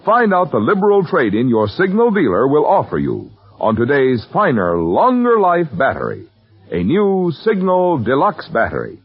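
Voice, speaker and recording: A man's voice: fairly high pitch, wildly swooping pitch, moderate pace. A man announcing on 1940s radio, reading sponsor copy in a polished, emphatic sales style. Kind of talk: 1940s radio advertising read. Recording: muffled vintage radio, husky, faint music.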